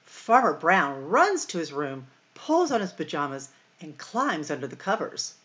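A middle-aged woman hosting a library storytime, reading picture books aloud in a deliberate, expressive way for young children. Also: low voice. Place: small room at home or office, indoors.

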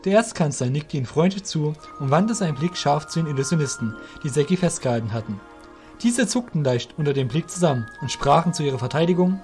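A male narrator tells a fantasy story in a scripted, measured manner. His voice sits a little high for a man, with soft music underneath. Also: animated. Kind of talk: scripted fantasy audio drama narration in German.

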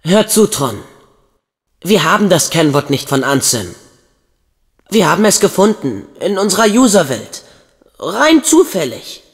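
Teenage boy game hero, earnest, eager, loyal, very animated intonation, long hall reverb. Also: high-pitched, a little slow, pauses often, breathy edge, full character voice. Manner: expressive, earnest, youthful. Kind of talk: video game voice acting, German dub.

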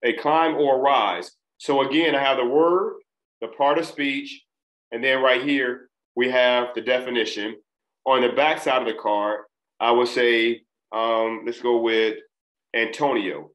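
Guy from a trinidad family teaching vocab, slow careful enunciation, roomy webcam sound. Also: mid-range voice, fairly even intonation, fairly bright tone. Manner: deliberate, enunciating each syllable. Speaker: test prep instructor. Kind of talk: recorded lesson. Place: small room, indoors. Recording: echoey room.

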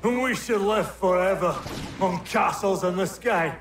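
An actor playing a dying older British officer in a video game, proud and anguished, pleading in halting, formal phrases with a strained voice. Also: male voice, high-pitched, a bit bright, reverberant, slight background noise. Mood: bitter, fading. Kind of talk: dramatic video game cutscene acting.

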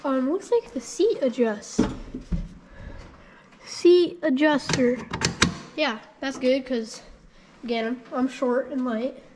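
A woman's voice: high voice, slow, long pauses, a little mellow, a little hiss or hum. A woman hosting a casual walkthrough vlog, thinking aloud in a rambling, unsure way with frequent ums. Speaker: channel host.

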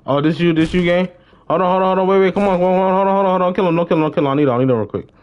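A man's voice: sits high, rapid-fire, dull audio. Young man streaming, slangy and repetitive. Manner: slangy, repeats short phrases excitedly. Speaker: game streamer. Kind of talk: casual live gaming commentary.

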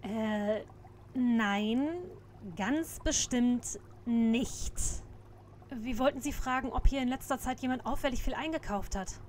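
Woman as a teenage girl detective, bossy, scolding, high voice, radio play. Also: takes it slowly, darkish tone, a little hiss or hum. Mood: brisk.

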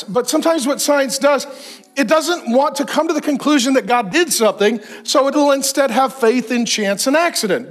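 A man of fifty-one preaching a sermon on a large church stage, animated and joking as he gestures. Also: very high voice. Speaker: pastor.

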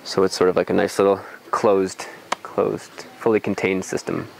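A man's voice: sits low, little pitch movement, moderate pace, vocal fry, background noise. A young adult man explains things in an informal outdoor tour, thinking aloud with some hesitation, on a lapel mic. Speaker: farm guide. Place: outdoors on a farm.

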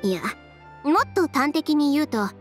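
Game casting: confident young woman designer, high and expressive, brisk, dryly frank, no-nonsense. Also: soft background music. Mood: brisk, assured. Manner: matter-of-fact, decisive, frank. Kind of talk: Japanese anime game voice acting.

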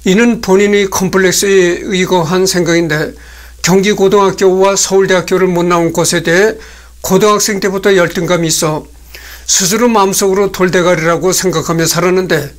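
A man in his sixties with a high voice talks alone at a desk in a small room. He speaks slowly and haltingly, with many fillers and restarts, as he reads notes from a screen. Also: fairly even intonation. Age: 60s. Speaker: host. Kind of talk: solo talk reading notes on a screen.

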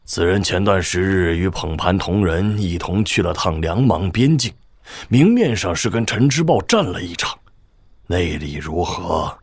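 An actor playing a shrewd old military governor, husky, measured and wry, in a wuxia audio drama. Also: male voice, deep voice, expressive, no echo, exaggerated voice. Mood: grave, wary. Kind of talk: Chinese wuxia radio drama acting.

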